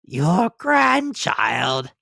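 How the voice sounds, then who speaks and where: A deceitful storybook wolf, played by a man, pitching the voice up to pass as someone gentle. It is falsely sweet and drawn out, with some huskiness. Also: sing-song, very slow, gravelly, slightly rough, no room sound, clean studio sound, heavily acted. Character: deceitful wolf mimicking a soft feminine voice.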